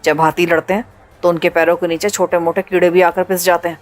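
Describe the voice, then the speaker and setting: A woman voicing a cold, ruthless male pirate leader who talks in a calm, mocking, menacing way. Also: fairly low pitch, soft background music, pitched below the performer's own voice, close to an everyday voice.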